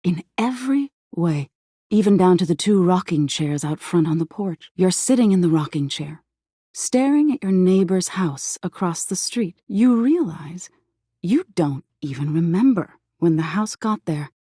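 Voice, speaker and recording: A woman playing an eerie, softly menacing embodiment of existential dread in a video game, narrating slowly in a hushed, breathy voice that slips into whispers. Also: fairly low pitch, expressive, dry recording, clean studio sound. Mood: ominous, hushed. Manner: slow hushed storytelling, lapsing into whispers.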